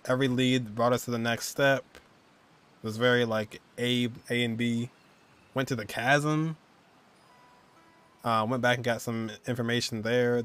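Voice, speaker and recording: A man's voice: medium pitch, a bit bright, clear, resonant tone. A man in his twenties giving casual, musing reaction commentary as a game streamer. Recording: game music behind the voice.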